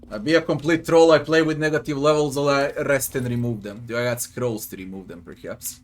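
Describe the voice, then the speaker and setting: A man's voice: medium pitch, steady tone, brisk, a little hiss or hum. A man from Serbia with a Serbian accent gives casual game commentary, grumbling, swearing and muttering to himself. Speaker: gamer doing a playthrough. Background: faint game music.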